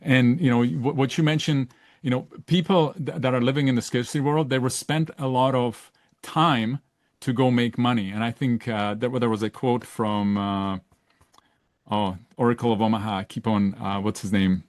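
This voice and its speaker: A man's voice: medium pitch, fairly even intonation, moderate pace, no echo, clean studio sound. A middle-aged man from Czechoslovakia, hosting a podcast interview in a casual, searching way. He often restarts his sentences and checks in with "right?". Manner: searching, self-correcting, with frequent "right?" tags. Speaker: podcast host.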